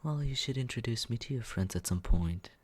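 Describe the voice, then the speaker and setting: A young man voicing a smug young man who teases and quietly looks after the listener, in a close, soft, whispered roleplay. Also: medium pitch, lively intonation, fairly quick, husky. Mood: teasing. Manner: teasing, condescending, soft whispering. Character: smug teasing young man, mockingly caring. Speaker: ASMR roleplay performer.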